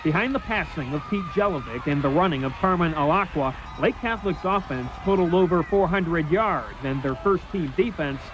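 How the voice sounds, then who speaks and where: A man's voice: fairly high pitch, lively intonation, a little fast, hardly any pauses. A man narrating a scripted sports news package as a reporter. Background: music.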